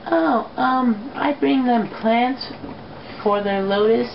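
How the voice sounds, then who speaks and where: Gentle, worried pegasus mare, played by a woman, high and hesitant, on a muffled amateur recording. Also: slow pace, creaky. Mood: gentle concern. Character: gentle, concerned female pegasus pony. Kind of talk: amateur fan fiction read-aloud. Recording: muffled, noisy home recording.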